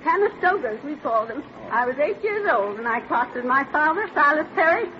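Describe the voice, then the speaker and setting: A woman's voice: high voice, animated, a little fast. An actress playing a spirited woman in her eighties, a folksy pioneer with rustic phrasing, in an old radio drama. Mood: reminiscing. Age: 80s. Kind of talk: 1940s radio acting. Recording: muffled, noisy old radio recording.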